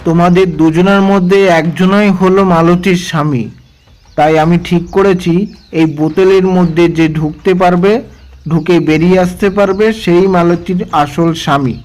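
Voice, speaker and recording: A man's voice: high-pitched, steady tone, moderate pace, darkish tone, close to an everyday voice, rough recording. A man voicing an authoritative cartoon village headman, commanding and formal, with music underneath. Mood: stern, deliberate. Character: authoritative male village headman judging a dispute.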